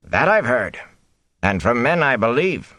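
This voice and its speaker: A man's voice: mid-range voice, very animated, measured pace, bright, forceful tone, no echo, pitched above the performer's own voice. A man voicing a sly, insinuating peddler who delivers news with false casualness, in a fantasy audiobook. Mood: sly, casual. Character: sly, theatrical peddler, slyly amused bearer of news.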